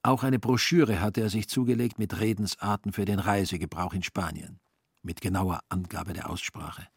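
A man with a low, husky voice narrates a literary radio drama. The delivery is dry, ironic and measured, recorded very close without room sound. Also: steady tone, no room sound.

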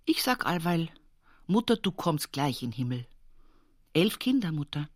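A woman's voice: sits low, sing-song, unhurried, dry recording, studio quality, exaggerated voice. An actress playing an older, pious, plain-spoken village woman with an Upper Palatinate Bavarian accent, reminiscing in a folksy radio play monologue. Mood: resigned, firm. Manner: folksy, earthy dialect storytelling with emphatic repetitions.

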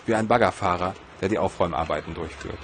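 A man with a fairly low voice narrates his own story. He reads from a script in a measured, literary way, like an audio feature. There is faint ambient noise in the recording. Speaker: narrator and filmmaker.

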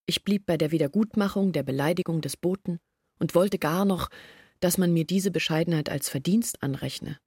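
An actress playing an earnest woman who confesses her family's failures in a reflective, measured monologue from a literary radio play. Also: fairly low pitch, warm tone, slightly rough, no echo, studio quality. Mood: confessional, resolute.

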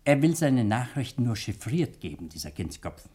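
An actor playing an older, avuncular judge in a 1960 German radio play, fond, soothing and teasing. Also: male voice, medium pitch, big pitch swings, normal speed, mellow, natural voice. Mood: fond, reassuring.

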